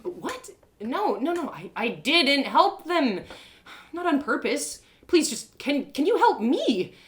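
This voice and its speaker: A woman's voice: high voice, very animated, slow pace, fairly bright tone, pitched above the performer's own voice, exaggerated voice. A woman voicing an exhausted, indignant young woman adventurer in a story, stammering and pleading. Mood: desperate pleading.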